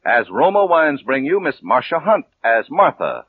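Very animated vintage radio announcer man. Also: mid-range voice, moderate pace, husky, no echo, narrow, tinny audio. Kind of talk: 1940s radio announcing, scripted sponsor copy. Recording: thin vintage radio recording.

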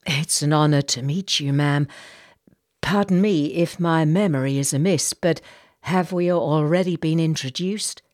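A woman's voice: low voice, darkish tone. Woman acting courteous, restrained army captain. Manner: formal, polite, measured. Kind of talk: audiobook character dialogue.

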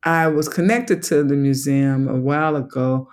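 A man's voice: mid-range voice, fairly even intonation, normal speed, clean tone. A man from Chicago with a Chicago accent carrying a Southern twang, a museum education director speaking as an interview guest, thoughtful and enthusiastic, laughing easily. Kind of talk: conversational interview.

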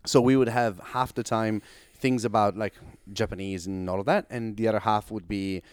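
Italian guy, young adult, easygoing talker, smooth dry voice, podcast guest. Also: lowish voice, moderate pace, no echo. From Italy. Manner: relaxed storytelling, frequent "like" fillers, laughs easily. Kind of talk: casual chat.

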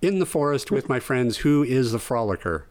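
A man hosting a podcast, reading out numbered items and laughing often in a relaxed group chat. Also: mid-range voice, moderate pace. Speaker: podcast host.

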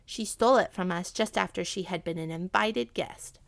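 A woman's voice: lowish voice, normal speed, vocal fry. A woman voicing a sly, haughty female cat in a children's story, with a purring, scornful, commanding manner. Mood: indignant. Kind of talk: children's animal storybook character.

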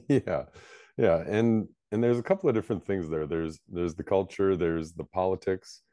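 A man's voice: low voice, moderate pace, mellow, no room sound, clean studio sound. A man who is a pastor and book editor, co-hosting a podcast in casual conversation, chatty with lots of fillers. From United States. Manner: chatty, many fillers, laughs often.

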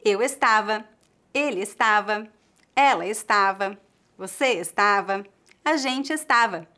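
A woman with a Brazilian Portuguese accent, teaching a scripted online language lesson in a clear, careful way and drilling slow examples. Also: medium pitch, pushed and bright.

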